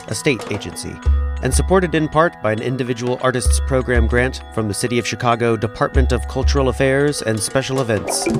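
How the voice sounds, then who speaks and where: A man announcing the show and reading credits in a measured, formal way. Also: mid-range voice. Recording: with music underneath.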